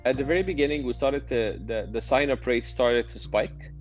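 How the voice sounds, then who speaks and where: A man's voice: mid-range voice, normal speed, soft background music, poor audio. A man answering interview questions as a startup founder, hesitant and often repeating words as he restarts.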